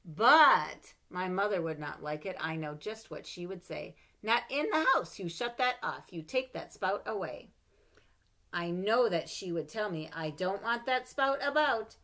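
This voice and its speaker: A middle-aged woman reading a children's picture book aloud at home, expressive and animated, with playful asides between pages, recorded on a webcam. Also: medium pitch, unhurried. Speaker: storybook reader. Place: home room with bookshelves. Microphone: webcam at desk.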